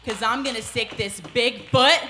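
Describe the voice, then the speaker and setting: A woman's voice: fairly high pitch, normal speed, sharp tone. A woman in her twenties, a wrestling champion, speaking proudly and defiantly in a promo interview. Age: 20s. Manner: proud, defiant, trash-talking. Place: wrestling arena. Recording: echoey arena with background noise.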